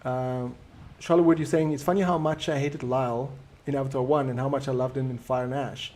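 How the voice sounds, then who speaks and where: A man with a South African accent, whose first language is Afrikaans, hosting a live stream and answering viewer comments in a relaxed, reflective way. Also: medium pitch, fairly quick. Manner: reflective, easygoing and conversational.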